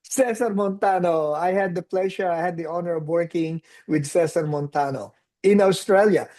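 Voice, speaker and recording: A man in his sixties from the Philippines with a Filipino accent, telling his story as an interview guest in a relaxed, reflective way and dropping in Tagalog phrases. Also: high-pitched, moderate pace. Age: 60s. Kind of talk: casual interview conversation.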